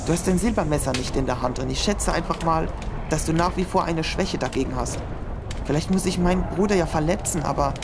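A woman's voice: deep voice, brisk. A woman playing a frightened but defiant young man who talks in a tense, urgent way, in an amateur audio drama with noisy background hiss. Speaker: hero.

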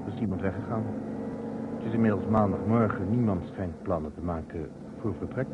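Male actor, contrary confident surgeon, lowish voice, clipped assertive speech, thin noisy vintage radio play. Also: brisk, natural voice. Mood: casual, probing. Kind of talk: Dutch radio drama acting. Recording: tinny, noisy old radio recording.

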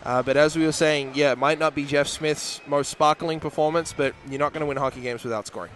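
A man's voice: a little high, fairly even intonation, fairly quick, fairly bright tone, slight background noise. A man in his thirties, a team broadcaster interviewing a coach after a game at an indoor rink on a headset mic. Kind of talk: postgame interview.

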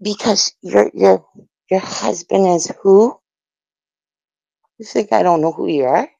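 A woman's voice: medium pitch, slow, vocal fry, mellow, dry recording, dull audio. A woman hurling aggressive, profane taunts in a heated argument, talking into a phone. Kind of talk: heated insult exchange in a live audio chat room.

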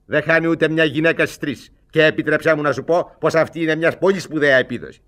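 An actor playing an older boss of thieves in a radio comedy, lecturing pompously and stammering in exasperation. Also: male voice, a little high, fairly even intonation, fairly bright tone, lightly acted. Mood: pompous, instructing. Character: scheming, blustering older male thief, boss of bungling apprentices. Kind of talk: radio theatre comedy acting.

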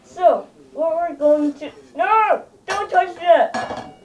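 Boy as exasperated puppet chef, high and slow, recorded from a distance. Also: highs cut off, a little hiss or hum, exaggerated voice. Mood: scolding. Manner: exasperated, scolding. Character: bossy impatient puppet chef.

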